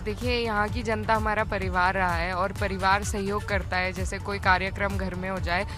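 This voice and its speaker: Young female political campaigner, average pitch, giving interview answers with music clearly behind. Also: level tone, moderate pace. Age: young adult.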